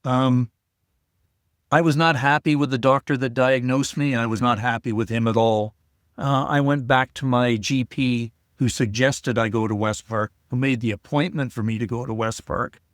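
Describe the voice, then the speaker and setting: An older man speaks at a middle pitch, halting and shy, often restarting his sentences as he tells his story. He sounds close and dry, with no room around him. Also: steady tone, no room sound. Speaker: guest, COPD advocate. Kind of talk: casual interview talk.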